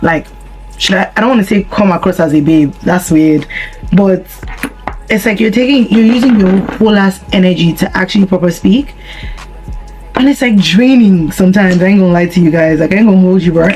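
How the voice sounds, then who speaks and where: A young woman from Nigeria with a husky voice, chatting casually and rambling with slang and deadpan humor while answering viewer questions. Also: medium pitch, brisk, soft background music, poor audio. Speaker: vlogger. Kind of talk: casual chat while doing makeup.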